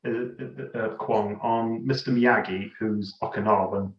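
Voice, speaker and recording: A man co-hosting a podcast, casually discussing a film in a halting, wry way. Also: lowish voice, fairly even intonation. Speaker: podcast co-host. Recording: slightly dull, echoey room.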